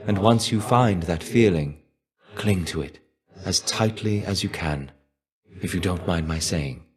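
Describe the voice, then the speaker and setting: An actor playing the shade of a fallen Greek warrior in a video game, wry, reserved and melancholy, with a measured, understated delivery. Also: male voice, sits low, a little mellow. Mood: wistful. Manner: measured, understated, wistful, trailing off at phrase ends. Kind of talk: video game character voice lines.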